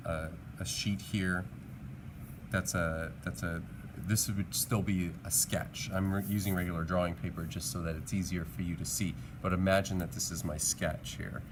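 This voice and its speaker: Deep-voiced male art teacher, noisy recording. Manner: halting, thinking aloud, self-correcting. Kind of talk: informal instructional demonstration. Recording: clearly noisy background.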